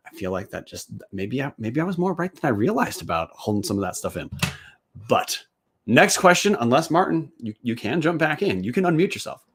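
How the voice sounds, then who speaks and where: A man's voice: mid-range voice, sing-song, a little fast, husky. A man co-hosting a casual sports podcast, teasing his co-hosts and rambling as he talks. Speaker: podcast co-host.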